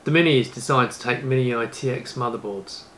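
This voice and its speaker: A man's voice: medium pitch, a little fast, a bit bright, a little hiss or hum. A man, presenting a casual retro computing vlog. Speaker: YouTube presenter and computer case maker. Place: indoor rooms with shelves of retro computers.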